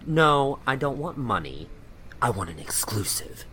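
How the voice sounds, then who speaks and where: A man's voice: mid-range voice, big pitch swings, a little slow, bright, forceful tone, a little hiss or hum. Man playing a smug bargaining blogger. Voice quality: smug, teasing. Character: mercenary blogger, teasing and bargaining.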